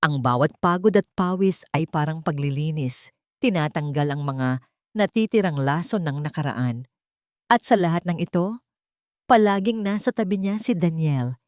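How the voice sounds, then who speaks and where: A woman narrating a scripted dramatic story as an audiobook, with expressive phrasing. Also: sits low, moderate pace, no room sound, studio quality.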